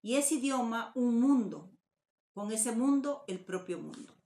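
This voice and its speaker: An older woman with a Mexican Spanish accent, reading a prepared conference paper in a measured, formal way over a video call from her home study. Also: a little high, expressive, dark tone. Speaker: academic conference speaker. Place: home study with bookshelves, indoors. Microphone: webcam on video call. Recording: roomy echo.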